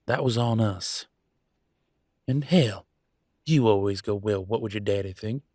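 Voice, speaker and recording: A man playing a conflicted werewolf pack alpha in an audio roleplay, arguing emphatically in colloquial, sweary speech with dramatic pauses. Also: on the low side, mellow, dry recording, studio quality. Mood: remorseful, firm. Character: Damien, conflicted male werewolf pack alpha, defiant and remorseful. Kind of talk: scripted audio roleplay, one side of an argument.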